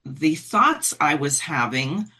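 A woman's voice: low-pitched, lively intonation, takes it slowly, pushed and bright. A middle-aged woman in an earnest conversation, thinking aloud with fillers as she makes her case. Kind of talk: conversational political talk.

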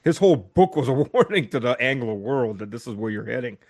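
A man's voice: mid-range voice, animated, a little fast, a little mellow. Guy chatting casually, laughs a lot, trips on words a bit. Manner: chatty, laughs easily, stumbles over words. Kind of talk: casual chat.

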